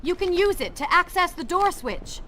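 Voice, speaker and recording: An actress voicing a proud, commanding young princess in a video game, speaking briskly and directly. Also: female voice, extremely high pitch, animated, bright, forceful tone, slight background noise, full character voice. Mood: determined. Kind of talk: game cutscene acting. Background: game music.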